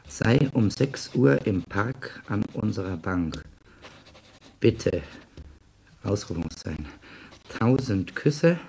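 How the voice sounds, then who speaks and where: A man's voice: on the low side, creaky, a little mellow, exaggerated voice. An actor playing a cheeky young man posing as a mischievous schoolboy, mock-polite, in a German radio play. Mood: tender, dictating. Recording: noisy radio play recording.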